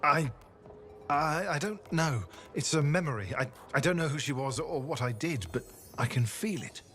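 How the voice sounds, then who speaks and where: An actor voicing a video game's haunted defector, a former state assassin with a halting, brooding, confessional delivery. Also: male voice, on the high side, lively intonation, fairly bright tone. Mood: anguished. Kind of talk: video game character dialogue.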